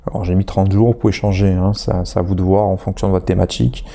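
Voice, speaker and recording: Male instructor with a cold, very dark gravelly voice, rambling tutorial delivery. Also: sits low, fairly even intonation, fast talker. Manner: chatty, hesitant, many fillers. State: has a head cold. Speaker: online course instructor. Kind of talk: screen-recorded tutorial walkthrough.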